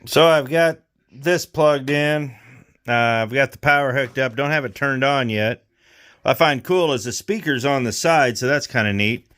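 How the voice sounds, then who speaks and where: A man hosting a repair vlog, talking casually and thinking aloud in an unhurried way as he demonstrates hands-on work. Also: mid-range voice, fairly bright tone. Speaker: host of a radio repair vlog.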